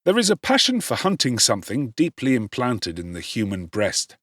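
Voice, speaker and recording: A man reads literary narration with a slightly husky, textured voice. His pitch moves widely and expressively, and his phrasing is theatrical and emphatic. The sound is dry and close. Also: wildly swooping pitch, normal speed, fairly bright tone, dry recording. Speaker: audiobook narrator.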